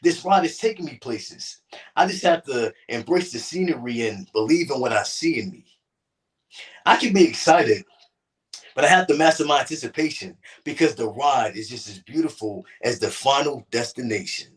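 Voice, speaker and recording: A man's voice: medium pitch, expressive, moderate pace, distant mic, low-quality recording. A man speaking earnestly in an interview, often repeating words and asking "you know what I'm saying", and also reading a poem aloud. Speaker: interview guest, poet. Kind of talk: casual interview talk and poetry reading.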